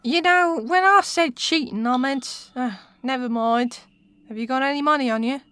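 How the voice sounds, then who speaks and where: A woman's voice: high-pitched, moderate pace, fairly bright tone, clean tone, no echo. A woman with an English accent playing a brash, teasing witch and jailer on the make in a comic radio sitcom, full of slang and mouthy banter. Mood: sly, wheedling.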